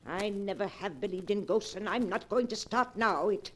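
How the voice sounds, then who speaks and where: An actress playing a stern, dismissive old aunt in 1940s radio drama style. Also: female voice, medium pitch, lightly acted. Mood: stubborn. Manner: imperious, brisk, dismissive. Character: stern, strong-willed old aunt, scornful of ghosts. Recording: hissy, clicking 1940s radio recording.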